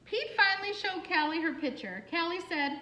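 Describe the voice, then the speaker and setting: A woman reading a picture book aloud for children in an expressive, singsong way, with asides and questions to young listeners. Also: extremely high pitch, moderate pace, a little hiss or hum. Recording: roomy, echoing room sound.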